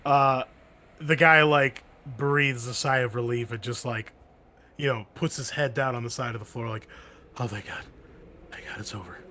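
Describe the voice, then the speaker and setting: A man running a tabletop game as game master, talking in halting, stammering bursts. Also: mid-range voice, bright, forceful tone, slight background noise.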